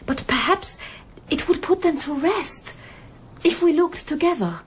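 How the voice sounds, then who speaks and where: A woman playing a warm, eager, persistent young woman, coaxing and earnest, in a radio drama. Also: extremely high pitch, animated, unhurried, poor audio. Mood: gentle, eager. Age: young adult.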